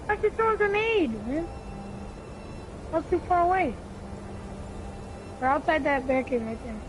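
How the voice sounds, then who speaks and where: High-pitched young gamer voice, noisy mic. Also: animated, normal speed. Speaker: video game player talking with teammates. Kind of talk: casual multiplayer gaming chatter, callouts to teammates. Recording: clearly noisy gaming mic recording.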